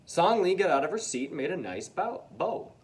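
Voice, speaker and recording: A man's voice: sits high, sing-song, moderate pace. A man reading a children's storybook aloud expressively, with casual asides to his young listeners.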